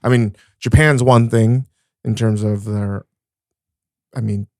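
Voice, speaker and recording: A man hosting a casual podcast talk, his voice gravelly with a fry at the ends of phrases. He talks frankly and with animation, cursing freely. The sound is dry and close, with no room echo. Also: fairly low pitch, steady tone, takes it slowly, darkish tone. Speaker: podcast host and chef.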